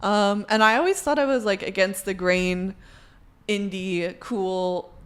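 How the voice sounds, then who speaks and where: A woman's voice: mid-range voice, steady tone, unhurried, a bit bright, clear, resonant tone. A young adult woman chatting casually as a podcast co-host, saying "like" often and laughing easily. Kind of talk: casual chat.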